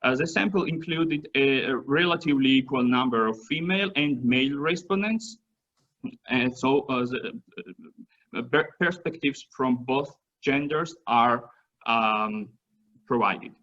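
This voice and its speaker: A man whose native language is Georgian gives an academic seminar talk in English, halting often with fillers and restarts. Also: medium pitch, a bit bright, highs cut off. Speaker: seminar presenter. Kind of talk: academic presentation.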